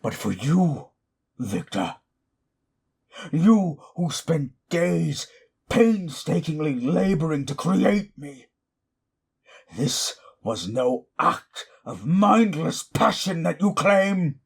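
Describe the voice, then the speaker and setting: Casting: a wrathful, lonely undead creature for audio drama; husky voice, slow and theatrical delivery, formal speech. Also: male voice, a little high, big pitch swings, full character voice. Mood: bitter wrath. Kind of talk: dramatic audio roleplay monologue.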